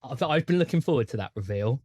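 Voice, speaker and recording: A man's voice: medium pitch, expressive, fast talker, warm tone, husky, no room sound, clean studio sound. A man with a British accent, game mastering and chatting casually on a tabletop roleplay show.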